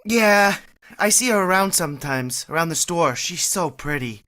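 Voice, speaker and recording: A woman's voice: fairly low pitch, expressive, moderate pace, a bit bright. A woman playing a timid, tongue-tied young man in a vintage-style radio play. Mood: bashful. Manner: stammering, timid.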